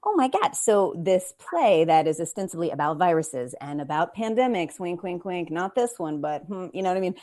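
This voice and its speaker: Expressive low-voiced woman on a panel. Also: normal speed, hardly any pauses. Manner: expressive, emphatic, acts out asides and rhetorical questions. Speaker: playwright on a discussion panel. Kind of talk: animated conversational panel talk. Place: remote video call.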